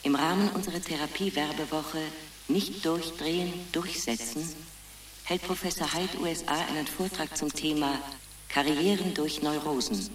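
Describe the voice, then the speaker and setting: An actress playing a formal loudspeaker announcer at a therapy centre in a radio drama. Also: female voice, low voice, moderate pace, background noise, lightly acted. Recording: echoing distant public-address sound, music behind.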